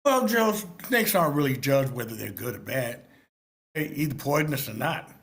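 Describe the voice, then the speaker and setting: A man playing a doting, studious man of thirty-two who gently explains things to a child at a script table read. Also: medium pitch, animated, normal speed. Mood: fond, reasoning.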